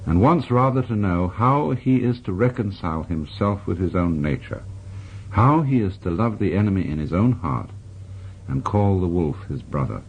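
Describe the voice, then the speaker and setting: An older man reading a philosophical text aloud, measured and expressive, with slow emphatic stresses. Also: low voice, husky, dull audio. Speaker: reader.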